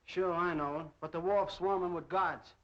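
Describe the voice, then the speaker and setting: An actor playing a tense, excitable spy henchman in a 1930s film, talking urgently in short sentences. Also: sits high, moderate pace, close to an everyday voice. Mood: urgent, worried. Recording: dull 1930s film soundtrack.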